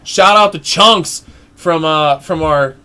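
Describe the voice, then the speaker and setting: Excitable young man casting a game. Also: a little high, slow, clean tone. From United States. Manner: excitable, rising loud during fights, chatty asides. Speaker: esports play-by-play caster. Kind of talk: live game commentary.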